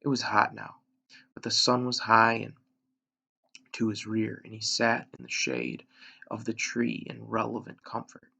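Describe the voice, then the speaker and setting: A man with a gravelly voice reading a children's novel aloud, measured, with dramatic pauses and emphasis. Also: lowish voice, sharp tone, lo-fi sound. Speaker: elementary school reader, story narrator.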